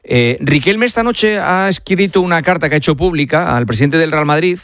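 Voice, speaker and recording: A man with an accent from Spain hosting a live sports radio show, speaking deliberately with hesitant pauses into a studio microphone. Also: fairly high pitch, expressive, a bit bright, no room sound. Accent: Spanish from Spain. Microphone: studio broadcast microphone.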